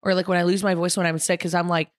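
A young woman with a slightly hoarse, raspy voice, talking nonstop in a casual podcast chat. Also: lowish voice, little pitch movement, racing, dry recording, clean studio sound. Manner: talkative, bursts into laughter. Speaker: podcast guest.

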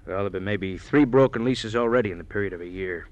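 Male actor as slick rental agent, low voice, evasive, colloquial wise-guy patter, vintage radio drama. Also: fast talker, darkish tone, muffled recording, natural voice. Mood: guarded. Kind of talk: old-time radio crime drama acting.

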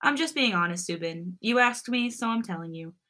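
A woman's voice: medium pitch, lively intonation, a little fast, sharp tone. A woman playing a lovesick, vulnerable young woman student on a phone call, candid and wistful, in a romance story. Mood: sad, honest.

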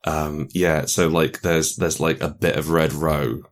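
A man's voice: a bass voice, steady tone, unhurried. A man guiding a tabletop roleplaying session, thinking aloud casually and often repeating phrases as he works ideas out. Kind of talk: casual collaborative brainstorming.

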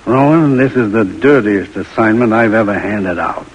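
An actor playing a stern, commanding army major, terse and dryly sardonic, in an old-time radio drama. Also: male voice, lowish voice, moderate pace, slightly rough. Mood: grave, commanding. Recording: muffled vintage radio recording.

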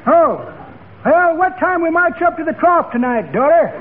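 A man's voice: extremely high pitch, expressive, moderate pace, a little hiss or hum. An actor playing a folksy, chatty old man in a 1940s radio comedy, rambling on, on a tinny old recording. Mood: folksy, cheerful.

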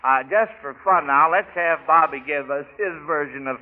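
A man's voice: on the high side, normal speed. An American man hosting an old-time radio children's quiz in a folksy, hesitant, chuckling way, often repeating himself. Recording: narrow-band old radio broadcast.

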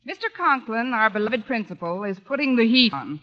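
A woman's voice: fairly high pitch, sing-song, fairly quick. An actress playing a wry, wisecracking female English teacher in a 1940s radio sitcom, with dry, arch delivery. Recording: dull, noisy vintage radio recording.

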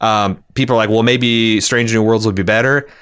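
A man co-hosting a podcast, discussing television casually and bluntly with some swearing, in a halting, rambling way that circles back over words. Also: on the low side, fairly even intonation, a bit bright, clean tone. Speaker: podcast co-host.

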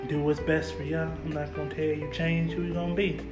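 A man's voice: a little high, flat delivery, brisk, reverberant, over music. A young man hosting a casual car podcast, talking in easy slang, repeating words and laughing often. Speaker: podcast host, local car photographer. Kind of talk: casual chat.

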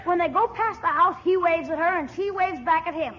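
Brash young American nephew, very high voice, wisecracking 1940s radio comedy, muffled recording. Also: brisk, heavy background noise. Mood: cheeky, gossipy. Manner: brash, slangy, gossipy.

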